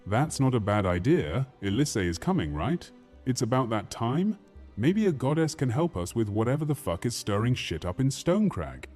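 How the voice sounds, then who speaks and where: A man playing a brash, foul-mouthed, battle-hardened soldier in a fantasy audio drama, with a crude, forceful manner. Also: medium pitch, sing-song, normal speed, faint music behind. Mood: sardonic.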